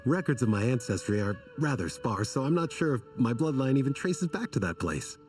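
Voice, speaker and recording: Game role: absent-minded rambling man, actor, theatrical, animated, slightly off-mic with music behind. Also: mid-range voice, moderate pace, distant mic, natural voice. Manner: meandering, theatrical recounting with asides. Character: rambling, absent-minded male desert storyteller.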